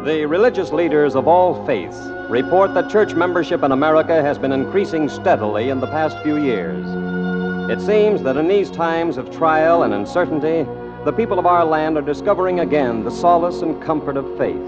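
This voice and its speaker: A man's voice: a little high, animated, moderate pace, over loud music. A man reading scripted copy as a 1950s American radio show announcer. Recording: dull old radio recording, reverberant.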